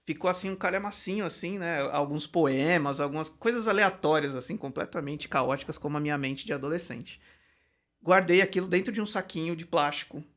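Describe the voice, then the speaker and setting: A man, a writing teacher telling stories in a casual podcast chat, animated and laughing often. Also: fairly high pitch, a little fast. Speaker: Portuguese and creative writing teacher, guest.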